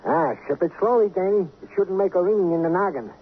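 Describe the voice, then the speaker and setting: An actor playing a fussy, doting, mild police colleague with quaint phrasing, in 1950s radio drama style. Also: male voice, high voice, fast talker, natural voice. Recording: tinny, faintly noisy old radio recording.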